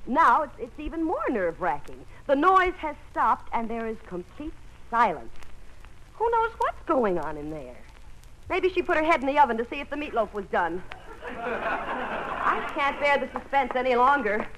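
An actress playing a sensible, wry, patient roommate who also narrates in a 1940s radio sitcom. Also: female voice, high voice, sing-song, normal speed, a bit bright. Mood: nervous, exasperated. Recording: faintly noisy old radio recording.

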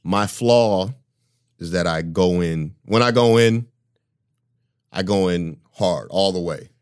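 A man with a deep voice co-hosting a casual podcast, talking emphatically and often laughing. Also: slow, clear, resonant tone. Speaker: podcast co-host. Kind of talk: casual chat.

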